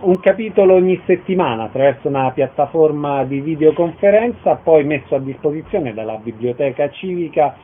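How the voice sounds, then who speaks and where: Narrow tinny audio, man, fairly high pitch, radio host, interview presenting. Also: expressive, a little fast, few pauses.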